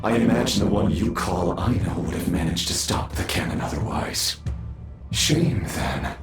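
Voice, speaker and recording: An actor voicing an arrogant, power-hungry undead villain in a video game, speaking theatrically with condescending pauses. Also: male voice, deep voice, expressive, reverberant, over music. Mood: smug, scornful. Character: menacing undead male villain, arrogant sinner craving power.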